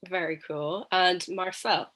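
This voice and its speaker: A man's voice: sits high, steady tone, slow pace, bright, forceful tone, a little hiss or hum. A man with an Irish accent hosting a casual interview over a video call, with lots of ums and short, friendly replies. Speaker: host.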